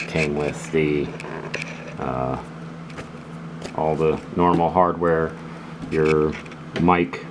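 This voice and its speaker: A man casually demonstrating gear as a video host, explaining things in a halting, unhurried way. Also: very deep voice. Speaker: host of a radio gear channel. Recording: clearly noisy background.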